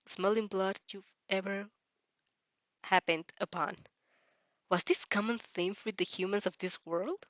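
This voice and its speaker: A woman reads fiction aloud with a casual, reactive delivery. Her pitch is average, and the sound is very dry with little room, on a slightly dull, lo-fi recording. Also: a bit bright, no echo. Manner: giggly asides, stumbling over words while reading. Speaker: reader reacting to fan fiction.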